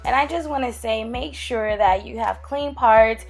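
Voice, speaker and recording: Gravelly young woman with a cold. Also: on the high side, measured pace, soft background music. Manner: chatty, addresses viewers as guys and girl. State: getting over a cold, raspy. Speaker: hair tutorial vlogger. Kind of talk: casual step-by-step tutorial. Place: small room at home, indoors. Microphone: camera close up, braiding her own hair.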